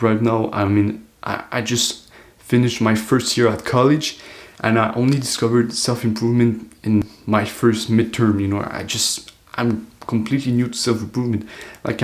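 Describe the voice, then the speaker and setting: An eighteen-year-old man talking to camera in an earnest, loose, reflective monologue, full of "like" fillers. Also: mid-range voice. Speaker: self-improvement vlogger.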